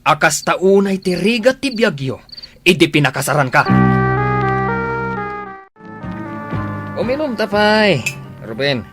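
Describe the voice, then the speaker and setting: A man's voice: high-pitched, big pitch swings, moderate pace, loud music behind the voice. A man acting a domineering, suspicious husband in a radio drama, contemptuous and boastful. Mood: boastful, tipsy.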